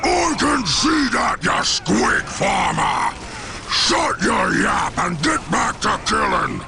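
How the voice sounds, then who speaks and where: A man voicing a brutish, boastful ork warboss in a video game, with a gravelly Cockney growl and crude, belligerent manner. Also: high-pitched, very animated, slow, shouting, over music, heavily acted. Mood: barking orders. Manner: crude, bellowing orders and taunts. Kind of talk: video game character acting.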